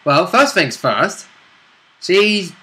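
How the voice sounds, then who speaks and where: Man, tabletop player, slightly high pitch, very bright sharp tone, casual chat. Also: very animated, extremely slow. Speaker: player in the roleplay campaign.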